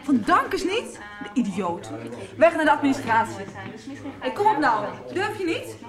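A woman's voice: high-pitched, very animated, moderate pace, reverberant, music in the background. An actress in a radio soap playing a bold, defiant teenage schoolgirl, brash and direct. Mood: bold, urgent. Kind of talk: 1990s radio soap acting.

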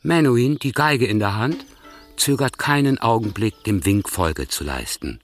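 Very low-voiced male radio play narrator. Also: lively intonation, unhurried, soft background music. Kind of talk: scripted literary narration.

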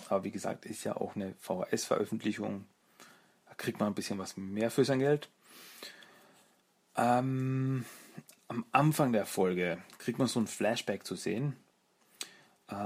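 A man with a fairly low, husky voice delivers a casual solo review as the host of a fan show. He is self-deprecating and feels his way through his sentences, thinking aloud.

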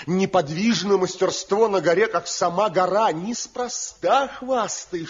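A bitter, proud craftsman in an old radio drama. His husky voice is fairly high and swoops widely as he declaims with envy and defiance. The recording is dull and lo-fi. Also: full character voice. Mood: bitter, envious. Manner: theatrical, declamatory, boastful. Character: proud, bitter rebel master woodcarver, envious and defiant. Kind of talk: Soviet radio drama acting.

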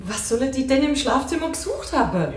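A sceptical female detective probes in a radio crime play. Her voice is somewhat high, her questions pointed but hesitant. She sounds set back from the mic in a reverberant room. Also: sing-song, a little fast, far from the mic. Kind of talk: 1980s radio drama acting.

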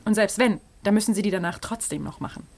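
A woman's voice: medium pitch, darkish tone, slight background noise, natural voice. An actress playing a hesitant tax office clerk who stumbles through her comparisons. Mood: halting. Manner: halting, hesitant.

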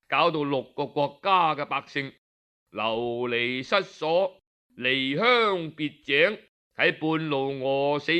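A man's voice: high voice, sharp tone. A man with a Cantonese accent telling a story in a dramatic, colloquial audiobook style, full of rhetorical touches. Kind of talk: traditional dramatic oral storytelling, audiobook narration.

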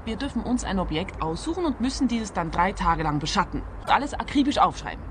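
A woman's voice: mid-range voice, very animated, fast, a little hiss or hum, lightly acted. An actress playing an eager, naive young woman training as a private detective in a radio crime comedy, chatty and earnest.

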